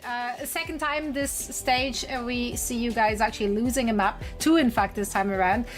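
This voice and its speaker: Playful high-voiced woman esports host. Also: steady tone, moderate pace, soft background music. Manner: playful, joking, chatty. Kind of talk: analyst desk chat.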